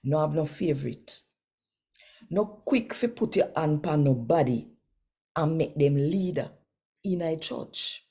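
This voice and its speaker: Low, slow-paced Jamaican woman. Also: lively intonation, dark tone, breathy edge. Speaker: host reading daily scripture. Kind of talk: scripture reading and devotional talk in Jamaican Patois.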